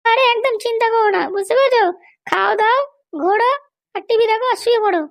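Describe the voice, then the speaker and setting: A woman's voice: very high voice, quick pace, creaky. A woman voicing a bossy, vengeful female politician and scolding wife in a comedy cartoon, haranguing and boastful.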